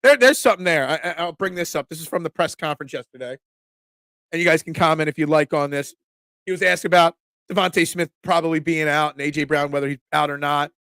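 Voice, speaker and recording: A man, congested from a cold, hosting a casual sports talk stream, excitable and opinionated, often restarting his sentences. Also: fairly high pitch, quick pace, dry recording. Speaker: sports talk show host. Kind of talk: casual live-stream sports talk, reading chat comments.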